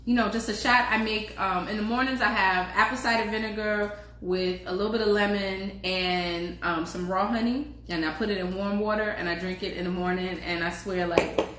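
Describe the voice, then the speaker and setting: A woman's voice: medium pitch, fairly even intonation, moderate pace, a bit bright. A woman co-hosting a podcast, talking in a loose, informal way and laughing often. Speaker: podcast co-host. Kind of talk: casual chat. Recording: echoey room with faint noise.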